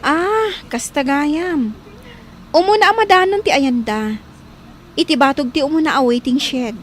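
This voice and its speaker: A woman's voice: sits high, a little slow, slight background noise. A woman acting in a radio drama as a helpful, talkative young village woman. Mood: helpful, gossipy.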